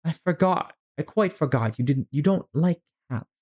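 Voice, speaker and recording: A man voicing a polite, anxious young girl in a storybook, with a high, light voice and an earnest, pleading manner. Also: expressive, moderate pace, a little mellow, slightly rough. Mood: apologetic.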